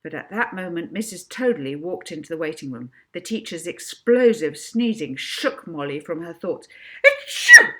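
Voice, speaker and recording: A woman reading a children's book aloud as an expressive narrator. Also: fairly low pitch, moderate pace, few pauses. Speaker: author reading own book.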